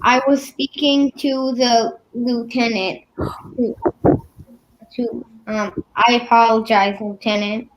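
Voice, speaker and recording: A woman as a slow, halting radio traffic cop. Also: fairly high pitch, level tone, low-quality recording. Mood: flustered deferential. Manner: halting, stammering, official. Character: suspicious, apologetic, bumbling traffic policeman. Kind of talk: old-time radio comedy acting.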